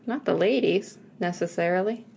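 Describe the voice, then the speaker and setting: A woman's voice: lowish voice, normal speed, vocal fry, a little mellow, slightly rough. A woman co-hosting a podcast in casual chat, gushing and chatty, with lots of filler "like"s. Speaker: podcast co-host.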